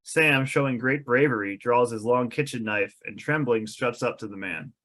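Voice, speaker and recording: A man's voice: mid-range voice, moderate pace, fairly bright tone. A man reading scene descriptions as the narrator of a script table read.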